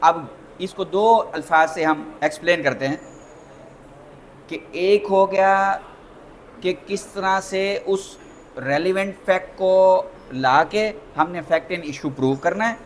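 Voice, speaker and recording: A man's voice: sits high, slow, slight background noise. A man with a Pakistani accent giving an interactive lecture as a lawyer, repeating points and asking rhetorical questions, mixing in English terms.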